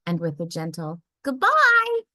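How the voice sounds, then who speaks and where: Slow, expressive woman reading a children's story. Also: fairly low pitch, no room sound, clean studio sound. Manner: careful, expressive delivery for young children. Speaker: read-aloud storyteller.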